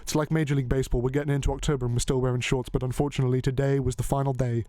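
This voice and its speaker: A male host who rambles along, joking and dropping a curse here and there. His voice sits at an ordinary middle pitch. The sound is dry and close, with no room echo. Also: level tone, racing, dark tone. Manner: rambling, joking, loose, swears casually. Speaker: podcast host. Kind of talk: casual chat.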